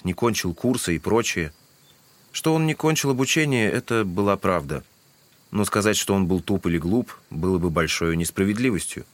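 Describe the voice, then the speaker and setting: Low-pitched man narrating a classic novel. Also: no echo. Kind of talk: scripted literary narration for audio drama.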